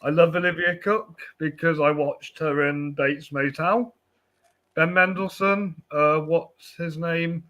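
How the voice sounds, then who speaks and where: A man co-hosting a podcast, chatting casually about films in a halting way that tends to trail off. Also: on the high side, steady tone, pushed and bright, muffled recording. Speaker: podcast co-host. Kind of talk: casual film chat.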